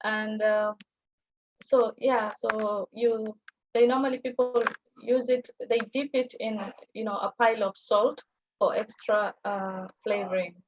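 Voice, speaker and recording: A woman's voice: medium pitch, fairly even intonation, unhurried. A young woman with a Kenyan accent, whose first language is Somali, explaining at an easy pace with many fillers. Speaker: guest language teacher. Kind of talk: presenting on a livestream call. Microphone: video call. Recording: muffled video call audio with light noise.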